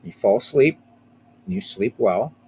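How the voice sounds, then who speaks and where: A man's voice: mid-range voice, lively intonation, unhurried, a little mellow, a little hiss or hum. A man hosting a casual live stream of a baseball game, rambling, repeating himself and chatting with viewers by name.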